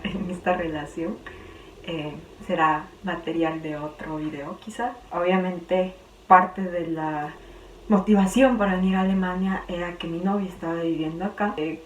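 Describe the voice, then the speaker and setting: Young woman, Quito accent, lowish voice, chatty vlog, echoey room, away from the mic, faint hum. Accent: Quito Ecuadorian Spanish. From Ecuador. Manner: chatty, searching for words. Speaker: vlogger. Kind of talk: casual personal vlog. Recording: roomy, distant, faint background hum.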